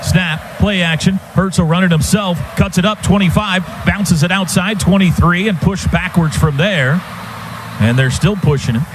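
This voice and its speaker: A man with a high voice calls live American football on the radio. He speaks in short, urgent bursts, tracking each move as it happens, with clear outdoor stadium noise around him. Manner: clipped rapid phrases, building excitement. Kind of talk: live sports commentary.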